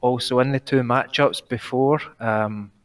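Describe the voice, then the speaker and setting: A nervous man with a Glaswegian accent, calling in to give an esports prediction, talking fast while trying to slow down and pronounce clearly. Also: medium pitch, fairly even intonation, far from the mic. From Glasgow, Scotland. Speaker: caller.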